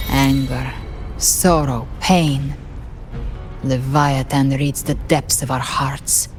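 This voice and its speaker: A woman playing a solemn, knowing guide of lost souls in a fantasy game, speaking in a calm, measured way. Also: low-pitched. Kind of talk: dramatic fantasy game acting.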